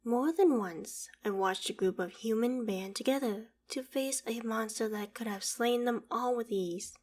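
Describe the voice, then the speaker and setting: A woman's voice: a little high, a little slow, a little mellow. A woman playing a proud, ancient female dragon in an audio roleplay, speaking in formal, archaic phrases with a haughty, theatrical manner that still holds fondness for humans. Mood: proud, dismissive. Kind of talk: scripted audio roleplay monologue.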